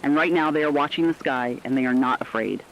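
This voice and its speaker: A man playing the steadfast host of a last-ditch radio station, reading a solemn, measured, poetic broadcast script. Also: a little high, a bit bright, slight background noise, poor audio. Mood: solemn, reflective.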